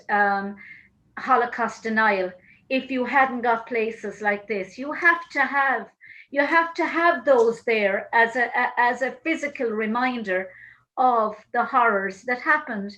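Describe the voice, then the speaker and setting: An Irish woman talks over a video call as the host of an interview. She works through her thoughts slowly, stopping and restarting her sentences as she goes. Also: fairly high pitch, a bit bright, low-quality recording. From Ireland. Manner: thoughtful, halting, many restarts.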